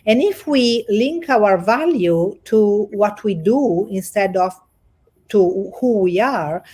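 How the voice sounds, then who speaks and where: A woman with an Italian accent, a guest coach speaking over a video call in an earnest, halting way with many restarts. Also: mid-range voice, animated, darkish tone, breathy edge. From Italy. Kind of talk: livestream interview.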